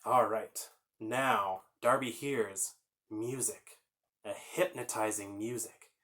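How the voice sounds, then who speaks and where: A man's voice: lowish voice, steady tone, slow, fairly bright tone. A man hosting a children's story time, reading aloud and chatting informally, explaining things and putting questions to the listeners.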